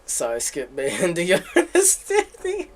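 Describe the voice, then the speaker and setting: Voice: medium pitch, huge pitch swings, a little slow, slight background noise. A game streamer reacting casually on a livestream. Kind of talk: casual livestream reaction and reading dialogue aloud. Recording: game music in the background.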